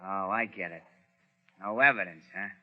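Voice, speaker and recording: An actor in a 1930s radio drama playing a nervous gangster underling, slangy and deferring, with an American accent. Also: male voice, low voice, moderate pace, soft background music, lightly acted. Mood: conspiring. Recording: muffled old 1930s radio recording.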